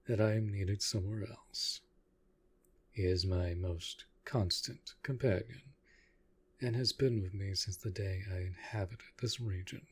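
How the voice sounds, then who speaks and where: Man acting as deep, menacing aristocratic vampire master. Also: full character voice. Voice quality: breathy, often hushed low voice. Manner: formal, deliberate, menacing. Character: ancient aristocratic male vampire master, possessive, coolly menacing. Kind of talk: dramatic roleplay audio acting.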